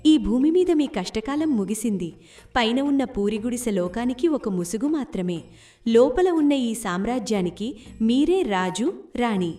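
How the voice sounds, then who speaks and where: A woman's voice: fairly high pitch, dark tone. A woman voicing a magical speaking book in a cartoon story, with a solemn, proclaiming manner and a distant, off-mic sound. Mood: grand proclaiming.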